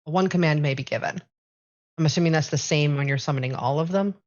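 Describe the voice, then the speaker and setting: A woman's voice: low-pitched, fast, darkish tone, muffled recording. A woman playing a tabletop game, plainly explaining her character's abilities and rules. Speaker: player.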